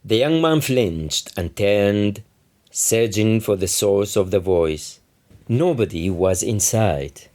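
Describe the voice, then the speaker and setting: A man reading literary fiction aloud as its author, with measured, deliberate phrasing. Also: on the low side, lively intonation, darkish tone. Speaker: author reading own novel. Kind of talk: literary audiobook narration.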